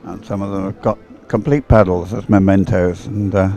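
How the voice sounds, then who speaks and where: Older low-voiced male sports commentator, rambling. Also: steady tone, moderate pace, dark tone. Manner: hesitant, chatty, rambling. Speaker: sports commentator, former umpire. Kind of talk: live dragon boat race commentary with rambling asides.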